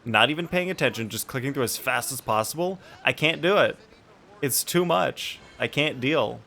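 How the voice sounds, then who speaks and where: A man's voice: mid-range voice, animated, normal speed, vocal fry, sharp tone. A man chatting casually on a game livestream, informally thinking aloud as he plays. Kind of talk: casual livestream gaming chat. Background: music.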